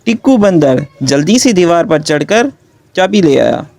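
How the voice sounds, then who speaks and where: Male narrator for a kids' adventure story, voice a little on the high side. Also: very animated, measured pace, darkish tone, dry recording. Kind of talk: scripted children's story narration.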